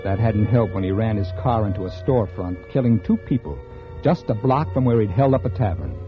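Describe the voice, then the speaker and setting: An actor playing a weary, condescending, washed-up mystery writer in a 1940s radio drama. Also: male voice, lowish voice, expressive, a little fast, close to an everyday voice. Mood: sardonic, brooding. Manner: weary, condescending, literary first-person narration. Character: bitter, self-absorbed, washed-up male mystery writer. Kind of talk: 1940s radio acting, noir-like narration. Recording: dull vintage radio, loud music.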